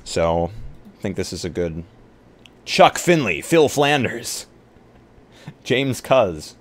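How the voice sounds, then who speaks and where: A man's voice: fairly low pitch, big pitch swings, slow. A man chatting casually with stream viewers and a co-host in an easygoing, informal, joking way. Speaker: live-stream roleplay player.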